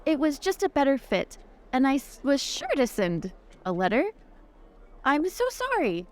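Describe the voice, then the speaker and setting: A woman playing a genteel young lady in improvised tabletop roleplay, gushing, halting and evasive as she apologises and reassures. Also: high voice, huge pitch swings, full character voice. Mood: flustered apology. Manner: gushing, halting, over-polite.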